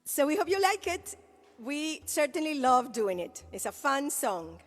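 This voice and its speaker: A woman with a high voice hosts a sing-along concert for children as its singer. She is heard in a hall with long reverb and a little music. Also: very animated, measured pace.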